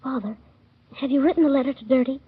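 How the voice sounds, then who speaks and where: High and breathy, this small boy from a 1930s radio play asks his questions eagerly and guilelessly. The sound is dull and lo-fi, like an old broadcast. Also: fast. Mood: pleading. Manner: eager, plain-spoken child questions. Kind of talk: 1930s radio drama acting.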